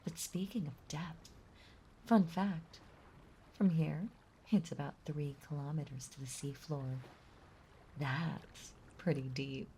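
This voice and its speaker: Low, breathy possessive mermaid played by a woman. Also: steady tone, slow pace, pauses often, warm tone, a little hiss or hum. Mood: cheerful, reassuring. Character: possessive yandere mermaid, playful, sweetly menacing young female. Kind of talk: intimate roleplay audio drama monologue. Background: ocean ambience sound effects.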